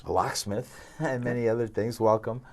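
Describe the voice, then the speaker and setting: A man hosting a studio interview show, relaxed and halting, with many fillers. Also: medium pitch. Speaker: host. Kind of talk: radio interview. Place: radio studio.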